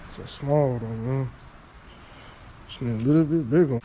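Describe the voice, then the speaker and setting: A man's voice: medium pitch, moderate pace, slight background noise. A man fishing at a small creek under a bridge, giving casual running commentary to himself on a head-mounted camera. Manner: relaxed, muttering to himself. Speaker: fishing vlogger. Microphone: head-mounted action camera.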